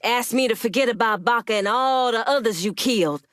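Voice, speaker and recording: A woman playing a tough, proud crime boss in a video game, with an American accent and a measured, pointed, streetwise delivery. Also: a little high, a bit bright, no echo, clean studio sound, close to an everyday voice. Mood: angry, aggrieved. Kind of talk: video game character dialogue.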